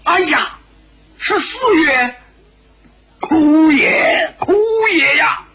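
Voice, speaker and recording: A man's voice: very high-pitched, slow, pushed and bright, muffled recording, voice pushed up, heavily acted. Man acting a frantic, blustering old kung fu master. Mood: wailing. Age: older. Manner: frantic, scolding, lamenting. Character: crazed, blustering old martial-arts master, frantic and quarrelsome. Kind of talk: dramatised wuxia novel storytelling.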